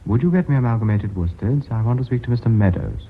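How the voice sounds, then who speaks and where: A thin, tinny old radio recording of a British board chairman. His husky voice is clipped and courteous, with expressive, moving intonation. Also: mid-range voice, quick pace. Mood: formal, courteous. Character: brisk, formal company chairman running a board meeting. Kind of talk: British radio drama acting.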